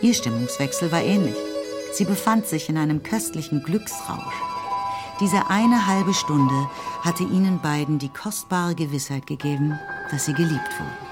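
Low-voiced woman narrating over music. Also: moderate pace. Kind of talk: scripted literary narration in German radio play.

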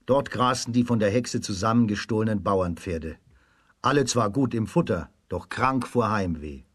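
A man with a husky voice narrating a children's radio fairy tale in a dramatic storytelling manner. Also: on the low side, normal speed, no room sound, clean studio sound.

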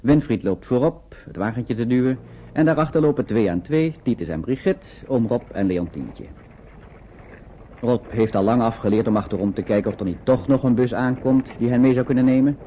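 A man's voice: medium pitch, fairly quick, a little hiss or hum, close to an everyday voice. An actor narrating a scripted radio drama about wartime refugees in a man's storytelling voice. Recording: muffled phone-like old radio recording.

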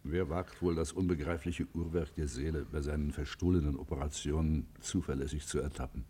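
A man playing a grave, compassionate confessor in a German radio play, with solemn, measured and dramatic phrasing. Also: a bass voice, steady tone, dark tone, slightly rough. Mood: solemn, pondering. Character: grave, compassionate male confessor hearing a criminal's tale.

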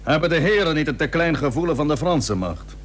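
A man's voice: on the high side, lively intonation, lightly acted. Man playing proud old admiral, noisy recording. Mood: measured, sceptical. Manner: formal, measured, firm. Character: Dutch admiral, old, plain-spoken, proud and dutiful. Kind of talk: historical radio drama acting.

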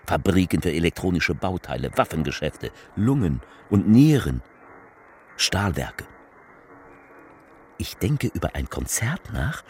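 A man playing a political speechmaker in a theatrical radio piece, addressing the people with halting, emphatic, repetitive rhetoric. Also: a bass voice, creaky, slight background noise, exaggerated voice. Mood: brooding, halting.

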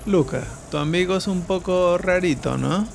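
A man's voice: sits high, normal speed, creaky, slight background noise. A man playing a mysterious, welcoming city local and would-be outlaw hero in an audio drama. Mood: wry. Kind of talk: amateur audio drama acting.

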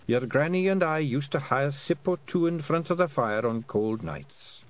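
A man voicing a dying old fairy clan mother with a Scottish accent, speaking slowly and firmly in a raised voice. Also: expressive, lightly acted. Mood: commanding, frail. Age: over 60. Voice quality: slow, raised-pitch, firm old-woman voice.

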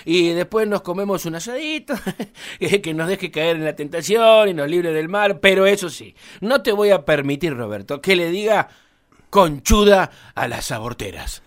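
A man's voice: high voice, lively intonation, normal speed. An Argentine man playing a flustered, blustering party politician in a satirical radio play, stammering and repeating himself emphatically.

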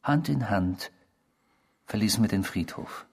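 An actor playing a smug, remorseless criminal confessing to a stranger in a German radio drama, speaking in a measured, provocative, self-satisfied way. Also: male voice, on the low side, lively intonation, vocal fry, darkish tone, husky, heavily acted. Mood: smug reminiscing.

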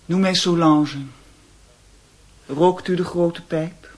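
An actress in a radio drama playing a poised, mysterious European hostess, commanding and teasing. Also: female voice, lowish voice, steady tone, takes it slowly. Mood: cool, inviting.

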